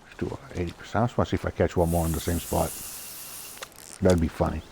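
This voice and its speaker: A young man with a Boston accent, chatting casually as he streams a fishing game, getting excited at each good catch and laughing often. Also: low voice, fast talker, vocal fry, a little hiss or hum. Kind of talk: casual live gameplay commentary.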